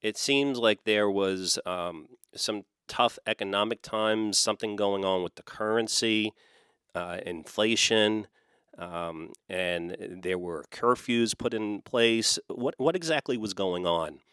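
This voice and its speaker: Man, podcast host, very deep voice, dry close sound, measured questions. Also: fairly bright tone, clean tone, no echo. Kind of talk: scripted intro then interview questions.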